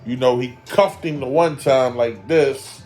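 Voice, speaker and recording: A man's voice: medium pitch, a little slow, darkish tone, soft background music. A man in his forties talking casually in a boxing vlog, loose and rambling, leaning on fillers and repeating his points for emphasis. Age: 40s. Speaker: boxing commentary vlogger.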